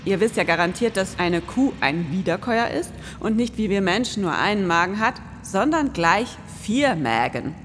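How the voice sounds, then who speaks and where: A woman's voice: medium pitch, sing-song, normal speed, few pauses, a little hiss or hum. A woman in her forties co-hosting a scripted, performative podcast with an explanatory delivery. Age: 40s. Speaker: podcast co-host. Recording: long reverb, music underneath.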